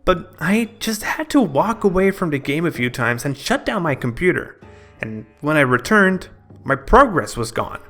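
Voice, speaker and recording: A man's voice: on the high side, very animated, moderate pace, pushed and bright, faint music behind. A man narrating a scripted video game review in a dramatic, storytelling manner.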